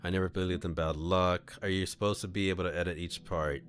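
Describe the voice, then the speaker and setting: A man's voice: low voice, steady tone, quick pace, faint music behind. A man streaming a game, reading his choices aloud with chatty comments and frequent laughs.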